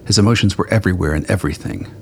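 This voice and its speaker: A man narrating a scripted radio play in a measured, literary storytelling manner. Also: deep voice, noisy background.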